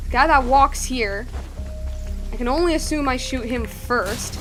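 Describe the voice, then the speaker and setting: A woman's voice: high voice, unhurried, fairly bright tone, faint music behind. A woman streamer giving casual gameplay commentary, thinking aloud in repeated questions. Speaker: game streamer.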